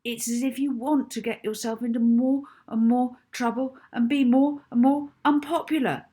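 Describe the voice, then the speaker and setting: A woman's voice: a little high, fairly even intonation, moderate pace, warm tone, husky, pitched above the performer's own voice. A woman voicing an exasperated, bluntly honest schoolboy in a children's audiobook. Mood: fed up. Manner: exasperated, blunt, matter-of-fact. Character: fed-up schoolboy, normally easygoing, bluntly honest. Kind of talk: acted children's audiobook dialogue.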